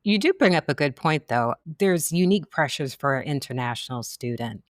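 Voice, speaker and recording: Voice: mid-range voice, animated, normal speed, no room sound, studio quality. A podcast host who affirms the guest and asks thoughtful questions. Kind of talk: podcast interview.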